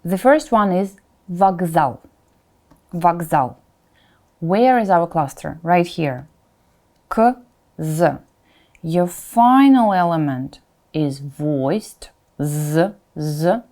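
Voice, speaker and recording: Low, mellow, slow-paced woman language teacher. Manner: instructional, repeats example words slowly and distinctly; informal asides, checks in with "right?". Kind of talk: pronunciation lesson addressed to learners.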